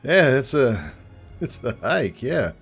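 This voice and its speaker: A man's voice: mid-range voice, very animated, slow pace, breathy edge, soft background music, exaggerated voice. A man reading a fanfic, voicing an awkward, flustered young male pony who stammers.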